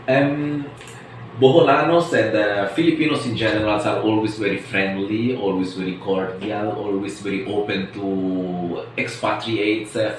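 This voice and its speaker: Echoey, low-voiced man in his forties. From Switzerland. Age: 40s. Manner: hesitant, searching for words. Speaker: interviewee, expatriate resident. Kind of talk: informal interview answers. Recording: echoey room, distant mic, faint noise.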